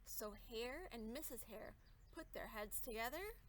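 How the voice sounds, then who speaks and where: A woman's voice: on the high side, lively intonation, a little slow, slight background noise. A woman reading a children's story aloud expressively, adding explanatory asides and questions for young listeners. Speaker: storybook reader.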